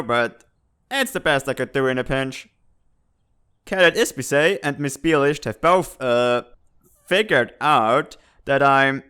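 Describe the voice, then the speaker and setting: A man's voice: mid-range voice, a bit bright, clear, resonant tone. A man voicing a goofy but dutiful bird spy in a comic dub, deferential and colloquial in his speech. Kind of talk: dramatic fan-dub comic acting.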